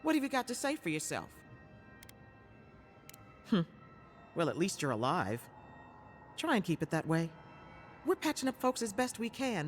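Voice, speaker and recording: An actress playing a blunt, scolding but caring healer in a fantasy game cutscene. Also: female voice, lowish voice. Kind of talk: dramatic video game cutscene acting.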